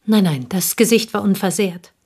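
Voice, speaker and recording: A woman's medium-pitched voice in a radio play, as a reserved provincial schoolteacher. She is polite and guarded, choosing her words in a measured, factual manner. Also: darkish tone, breathy edge. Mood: restrained, sombre. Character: reserved village schoolteacher, loyal confidante of the dead wife. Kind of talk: German radio drama acting.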